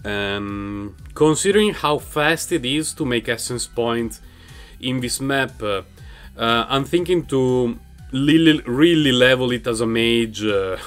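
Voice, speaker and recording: A man's voice: mid-range voice, expressive, takes it slowly, smooth, soft background music. A man with a non-native English accent chatting casually while playing a game on his couch, rambling and laughing often, into a mic in front of him. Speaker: gaming channel host. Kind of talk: casual gameplay commentary. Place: home, on a couch. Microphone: microphone raised in front of him.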